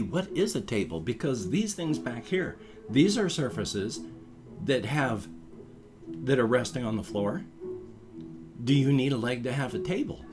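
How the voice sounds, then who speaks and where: A middle-aged man vlogging in a rambling, casual monologue, restarting phrases and reasoning out loud to his viewers. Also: mid-range voice. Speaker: vlogger, channel host.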